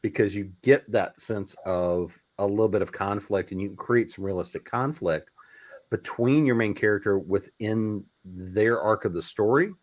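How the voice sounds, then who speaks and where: Author panelist, male, lowish voice, loose talker who riffs. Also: normal speed. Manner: loose, conversational, with fillers and restarts. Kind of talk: casual panel discussion.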